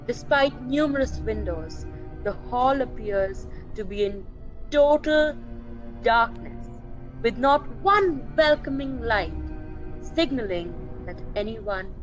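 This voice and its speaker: A woman narrating a scripted radio play in a measured, atmospheric, dramatic storytelling manner. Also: on the high side, lively intonation. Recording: dull lo-fi sound with music.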